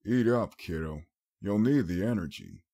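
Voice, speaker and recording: A man voicing a kindly, playful grown male guardian pony in an audiobook, warm, reassuring and teasing. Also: on the low side, animated, moderate pace. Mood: caring.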